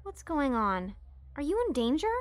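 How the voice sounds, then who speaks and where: A woman's voice: high voice, big pitch swings, moderate pace, no echo. A woman playing a perky, confident mob princess in her early twenties, girlish and eager, in an audio drama. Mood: worried.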